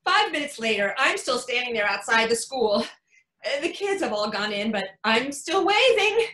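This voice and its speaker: A woman's voice: on the high side, normal speed. A woman playing a devoted, emotional mother who tells her story in an emphatic staged reading, acting out what others say. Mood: wistful, emotional. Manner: animated, emphatic storytelling with acted-out quotes. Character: devoted, emotional mother of young children narrating. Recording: echoey room.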